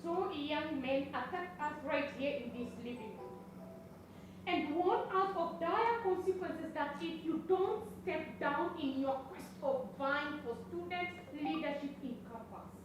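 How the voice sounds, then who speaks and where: A woman's voice: high-pitched, measured pace. A Kenyan woman playing a fearful, protective mother in a stage play, heard from a distance. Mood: alarmed. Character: fearful, protective middle-aged mother, emotional and pleading. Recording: echoey distant stage recording, clear noise.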